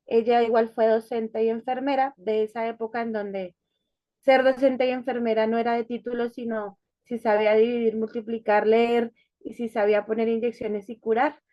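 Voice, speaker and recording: Woman, higher voice, thoughtful. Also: level tone, normal speed, no room sound, dull audio. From Colombia, Bogotá. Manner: thoughtful, hesitant, many fillers and restarts. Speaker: interview guest. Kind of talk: conversational life-story interview.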